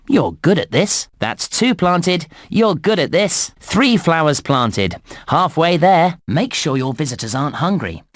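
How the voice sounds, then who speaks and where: A cheerful park guide for a children's computer game, pitched a little high. He gives scripted instructions with exaggerated enthusiasm and very lively swoops, always encouraging. The recording is dry and close. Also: no echo. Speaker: voice actor narrating game instructions.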